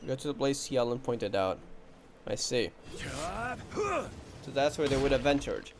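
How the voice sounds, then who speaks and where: A man's voice: mid-range voice, a little slow, background noise. A man giving casual running commentary as he plays through a video game. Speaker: gamer commentating on playthrough. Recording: faint game music behind.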